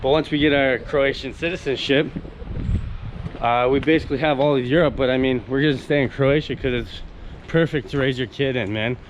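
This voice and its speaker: A man's voice: medium pitch, steady tone, fairly quick, a little hiss or hum, low-quality recording. A young man from Canada chatting casually on camera as he walks outdoors, rambling and enthusiastic with many fillers and restarts. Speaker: vlogger. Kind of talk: casual walk-and-talk vlog. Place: outdoors on a coastal town street.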